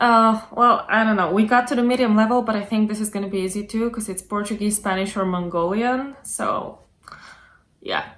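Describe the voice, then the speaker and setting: A woman's voice: medium pitch, fairly even intonation, fast, a bit bright. A woman hosting a casual interview over a video call, chatty and filling her talk with yeah and like. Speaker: host.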